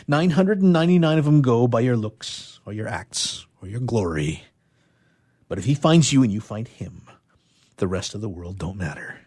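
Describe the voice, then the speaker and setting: A man with a husky, mid-pitched voice reads verse aloud. His delivery is expressive, in the style of a radio theatre player. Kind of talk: expressive poetry reading.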